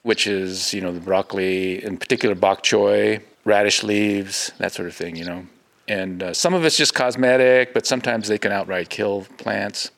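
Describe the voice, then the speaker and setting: A man with a deep voice talks casually as an interviewed farmer. His delivery is plain and conversational, like everyday working talk. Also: fairly even intonation, moderate pace, vocal fry, a bit bright.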